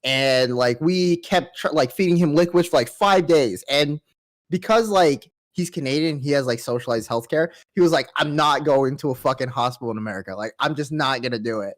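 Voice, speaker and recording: A man's voice: medium pitch, brisk. A young man with a nasal voice, telling a trip story in a laid-back, swearing way on a podcast. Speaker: podcast host. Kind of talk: casual chat.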